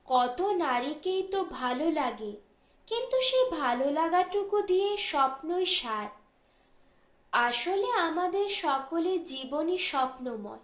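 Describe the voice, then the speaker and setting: A woman's voice: high voice, sing-song, slow, reverberant. A woman reading a literary short story as an audiobook narrator. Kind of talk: scripted literary story narration, voicing dialogue.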